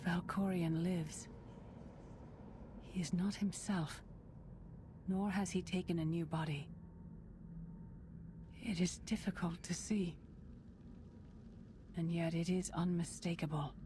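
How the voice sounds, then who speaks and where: An actress playing a solemn, watchful seer in a game, speaking in a measured, formal way. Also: female voice, on the low side, fairly even intonation, background noise. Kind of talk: video game cutscene acting.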